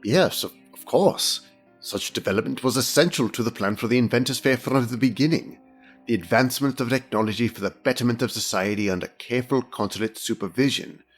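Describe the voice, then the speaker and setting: A man playing a fastidious, analytical senior inspector, speaking with precise, formal pomposity in an audio drama. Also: medium pitch, slightly rough, soft background music, close to an everyday voice. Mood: measured, reasoning.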